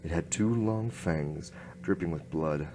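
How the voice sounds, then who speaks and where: A man playing a grim, hard-boiled noir inspector, narrating his case in clipped, deadpan radio-drama style. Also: deep voice, measured pace, a little hiss or hum. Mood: tense, suspenseful. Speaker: narrator and lead actor.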